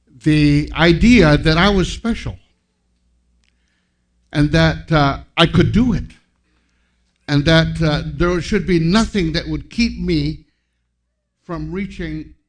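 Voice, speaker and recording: Man in his seventies, guest pastor, slightly high-pitched, informal sermon, halting anecdotal delivery, self-deprecating. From San Francisco, United States, born to a Filipino family. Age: 70s. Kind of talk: informal sermon with personal testimony.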